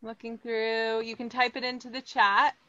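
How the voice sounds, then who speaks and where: A woman's voice: fairly high pitch, little pitch movement, normal speed, pushed and bright. A woman in her thirties from Los Angeles with an American accent, running an upbeat online plant lesson and asking her audience questions. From Los Angeles, California. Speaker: plant educator. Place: greenhouse, indoors. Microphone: video call, laptop or webcam. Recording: slightly dull video call audio.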